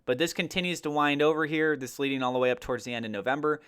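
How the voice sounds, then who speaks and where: Man, slightly high voice, finance video host, casual commentary, emphatic, colloquial delivery. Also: fairly even intonation, rapid-fire, a bit bright, smooth. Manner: emphatic, rhetorical questioning, colloquial. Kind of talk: casual market commentary to viewers.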